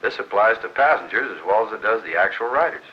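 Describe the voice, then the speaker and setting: Male actor as earnest American rider-instructor, vintage safety film: lowish voice, patient and didactic, muffled period sound. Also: expressive, fast, lightly acted. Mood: earnest, instructive. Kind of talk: scripted 1960s training film acting. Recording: dull, noisy 1960s film soundtrack.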